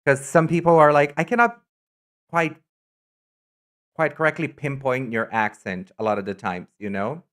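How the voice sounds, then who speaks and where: A man's voice: medium pitch, normal speed, long pauses. Campy, chatty male streamer from Brazil. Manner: chatty, campy and excitable, trails off into asides. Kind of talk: casual live-stream chat.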